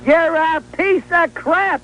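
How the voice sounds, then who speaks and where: A man rants on a radio call-in show in an extremely high voice. He is belligerent and insulting, drawing his words out at a slow pace. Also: sharp tone.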